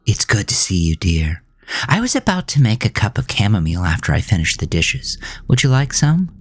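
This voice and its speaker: A man voicing an uncanny dead mother in a horror audio drama, soft-spoken, sweetly nagging and wistful. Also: fairly low pitch, very animated, fairly quick, husky, voice pushed up, exaggerated voice. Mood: sweetly motherly. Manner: motherly, gently chiding.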